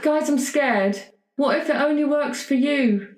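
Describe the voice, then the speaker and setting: A woman's voice: high-pitched, unhurried. A woman speaking in character as a player in a casual tabletop roleplaying game. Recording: roomy, echoey sound.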